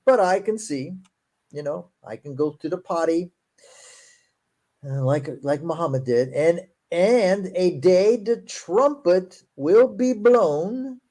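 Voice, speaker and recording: A man who speaks English as a second language, with Portuguese as his first, and a fairly high but mellow voice. He reads text slowly and breaks in with sarcastic asides. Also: lively intonation. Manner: reads verses aloud slowly, then comments in a quick, sarcastic tone. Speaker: show host. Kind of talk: casual critical discussion.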